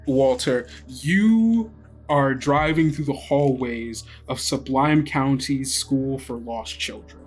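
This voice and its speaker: A man runs a tabletop game, describing scenes in an improvised, conversational way. His pace is unhurried, his pitch middling, and faint music plays underneath. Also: husky. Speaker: game master.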